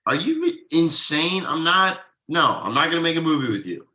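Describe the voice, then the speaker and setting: A man acts out an exasperated ad copywriter and young dad in a comedy table read. His bright voice swings widely in pitch. He stammers with emphatic disbelief. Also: sing-song, normal speed, full character voice. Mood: indignant, angry. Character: exasperated young father, wronged friend and ad copywriter.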